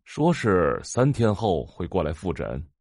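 A man's voice: sits low, wildly swooping pitch, unhurried, creaky, a little mellow, no room sound, clean studio sound, heavily acted. An actor playing a self-righteous, grumbling middle-aged man in an audio drama. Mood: agreeable. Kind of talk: Chinese audio drama acting.

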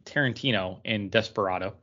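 A man's voice: on the low side, brisk, bright, forceful tone, highs cut off. A man co-hosting a podcast, talking casually with friends. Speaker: podcast co-host. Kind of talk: casual chat, reading out a list.

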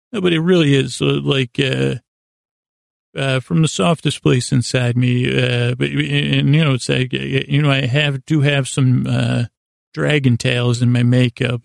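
A man with a gravelly voice hosting a bedtime podcast, talking in a hesitant, meandering way, stumbling over words and drifting off into tangents to lull listeners to sleep. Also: medium pitch, no room sound, studio quality. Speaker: podcast host. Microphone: close studio mic.

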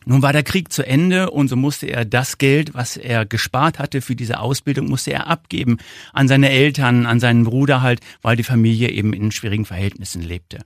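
Male interview guest, 50s, North German accent, mid-range voice, measured and explanatory, close dry sound. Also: mid-range voice, dry recording. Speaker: interview guest, foundation founder. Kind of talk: conversational interview answers.

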